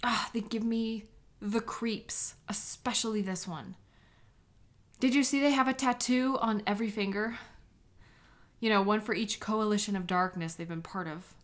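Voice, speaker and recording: A woman's voice: mid-range voice, natural voice. A woman playing an anxious, agitated woman sketch artist in an emotional audio drama. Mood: uneasy.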